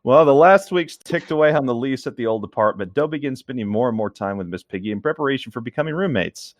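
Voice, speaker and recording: A man's voice: medium pitch, lively intonation, fast talker, dry recording, studio quality. A man co-hosting a casual show, bantering and reading aloud informally, dropping in his own comments. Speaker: co-host reading a story aloud.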